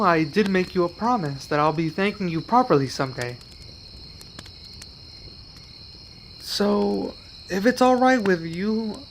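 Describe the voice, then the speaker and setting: An earnest young male werewolf, acted by a man for an ASMR roleplay, with a slightly high voice. He is gentle and protective, soft-spoken and given to pauses. Crickets and insects chirp faintly behind him. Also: slight background noise. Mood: hopeful, grateful.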